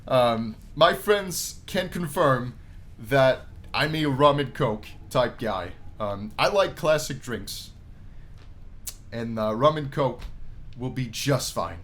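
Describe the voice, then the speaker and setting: A man's voice: medium pitch, animated, slow pace, fairly bright tone. A man giving jokey playthrough commentary as he reads a visual novel aloud, often repeating phrases for emphasis. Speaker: gaming video host.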